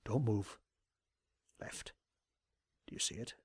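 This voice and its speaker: A man voicing a cautious, nervous male pony who keeps outwardly calm, speaking in a hushed, wary way in an audio drama. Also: slow, no room sound, clean studio sound, close to an everyday voice. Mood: hushed, afraid. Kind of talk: audio drama character acting.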